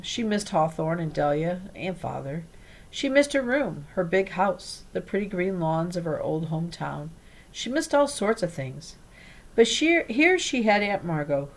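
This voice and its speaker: A woman reading a children's storybook aloud in an expressive way. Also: fairly low pitch, low-quality recording. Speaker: read-aloud reader.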